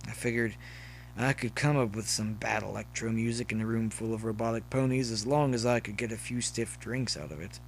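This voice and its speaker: A man's voice: lowish voice, fairly even intonation, brisk, vocal fry, a little hiss or hum, low-quality recording. A man voicing a cynical, hard-drinking young male college pony in a story, with a dry, grumbling manner. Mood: weary, sardonic.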